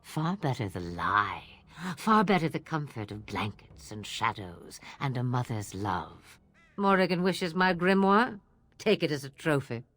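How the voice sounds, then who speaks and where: A woman's voice: rumbling low, big pitch swings, a little slow, fairly bright tone, no echo, exaggerated voice. An actress playing a sly, cryptic old witch in a video game, speaking theatrically in riddles. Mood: scornful, persuasive. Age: older. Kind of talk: video game drama acting.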